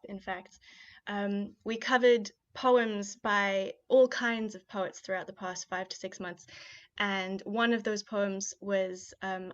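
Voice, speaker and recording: Young woman from kenya hosting a poetry livestream, chatty and upbeat, muffled webcam sound. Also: medium pitch. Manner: upbeat, chatty, with fillers between remarks. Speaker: host of an online poetry showcase. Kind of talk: live-stream hosting and spoken-word poetry reading. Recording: dull, muffled live-stream audio.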